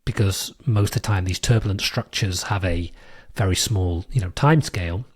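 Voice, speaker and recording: Hoarse British man hosting a podcast. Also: low voice, normal speed. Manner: thoughtful, with frequent fillers and restarts. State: hoarse from a cold. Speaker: podcast host. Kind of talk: solo explanatory podcast monologue.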